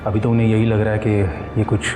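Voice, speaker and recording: A cautious man played by an actor in a crime drama, persuading in a hesitant, placating way. His voice is lowish, in an echoey room with music underneath. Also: flat delivery, a little fast, natural voice. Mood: uneasy. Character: cautious, persuasive party man guarding its reputation. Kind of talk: Hindi TV crime serial acting.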